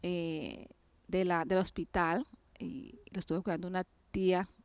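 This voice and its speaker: A woman from Cali with a Colombian accent tells her life story as an interview guest, her voice smooth and resonant in a dry, close recording. She speaks devoutly and haltingly, often pausing and restarting her thoughts. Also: lowish voice, little pitch movement, no echo. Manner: hesitant, many fillers and restarts, devout. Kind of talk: personal testimony in an interview.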